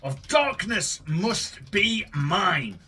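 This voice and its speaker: A man's voice: high-pitched, big pitch swings, extremely slow, pushed and bright, slightly rough, recorded from a distance. A man playing an AI story game who jokes theatrically and swears freely. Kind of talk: casual, profane let's-play commentary.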